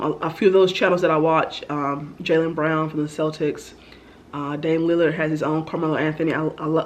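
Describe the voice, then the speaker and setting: Woman, stuffy, casual vlog talk, flat delivery. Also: low voice, a little hiss or hum. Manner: chatty, rambling, many ums. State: stuffy, getting over the flu. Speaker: vlogger. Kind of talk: casual get-to-know-me Q&A.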